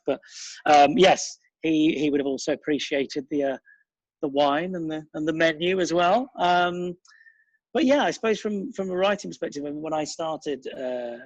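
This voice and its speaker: A man's voice: a little high, no echo, rough recording. A middle-aged man, reflecting casually in an interview in a rambling, thoughtful, self-deprecating way. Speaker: interview guest, writer, director and actor.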